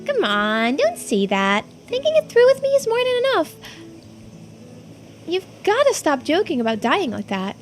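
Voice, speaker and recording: Woman voicing an excitable, very high-pitched teenage girl. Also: normal speed, heavily acted. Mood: encouraged, grateful. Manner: animated, blurting, casual. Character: excitable teenage girl diver with a secret crush. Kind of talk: video game character voice-over. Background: music.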